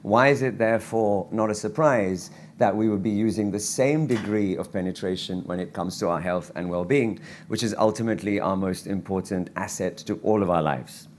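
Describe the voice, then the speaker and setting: A man speaking as a physician at a conference lecture, his voice croaky from travel, earnest and given to restarts and fillers. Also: on the low side, moderate pace, hardly any pauses. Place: conference hall.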